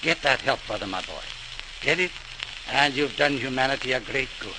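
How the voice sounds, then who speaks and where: An actor playing an older, encouraging, authoritative British medical mentor in oratorical 1940s radio style. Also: male voice, mid-range voice, animated, normal speed, natural voice. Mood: earnest, rousing. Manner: earnest, oratorical. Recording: noisy, crackly 1940s radio transcription.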